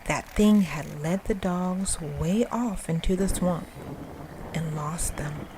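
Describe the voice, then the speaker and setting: A woman storyteller narrating a ghost story in a suspenseful, expressive, dramatic style. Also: fairly low pitch, slow, noisy background. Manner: suspenseful, expressive, dropping to breathy hush. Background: music.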